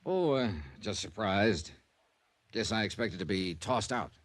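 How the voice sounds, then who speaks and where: A man's voice: on the low side, expressive, a little slow, no room sound. A man playing a wry, easygoing insurance investigator who narrates his own case in an old-time radio detective drama. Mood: probing, dry. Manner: wry, dry wisecracks. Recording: vintage radio recording with faint music.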